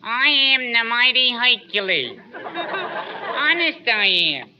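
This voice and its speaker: A man's voice: very high-pitched, huge pitch swings, slow pace, crowd noise, full character voice. An actor playing a puny, meek strongman who boasts earnestly in a 1940s radio comedy. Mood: feebly boastful. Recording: muffled, noisy 1940s radio broadcast.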